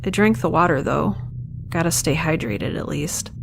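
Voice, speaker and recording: A woman's voice: deep voice, moderate pace, vocal fry, noisy background. A young woman playing a wry, stranded space scientist, rambling self-deprecatingly through a first-person log in an audio drama. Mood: weary, resigned.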